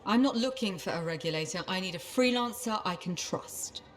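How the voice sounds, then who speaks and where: A woman's voice: medium pitch, expressive, normal speed, close to an everyday voice. A woman playing a shrewd, brash dealmaker in a video game, confident and businesslike. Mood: firm, persuasive. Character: shrewd, brash female bar-keeping dealmaker. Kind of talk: naturalistic video game acting.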